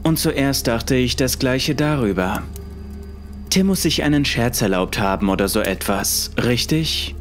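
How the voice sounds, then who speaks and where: A man's voice: mid-range voice, expressive, a little slow. Male horror story narrator over music. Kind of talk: scripted first-person horror narration. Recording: music under the voice.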